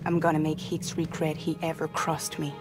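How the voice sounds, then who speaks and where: An actress playing a wounded but resolute young woman courier in a video game drama, speaking gravely and with emphasis. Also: deep voice, monotone, normal speed, music in the background, lightly acted. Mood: regretful, resolute.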